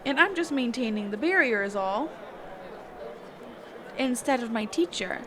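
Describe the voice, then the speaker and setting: A woman's voice: a little high, lively intonation, a little slow, crowd noise, background noise. A woman playing a kind, reclusive magic teacher in an intimate roleplay audio, speaking hesitantly, flustered and trailing off. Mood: flustered, explaining. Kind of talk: intimate character roleplay audio, one-sided conversation.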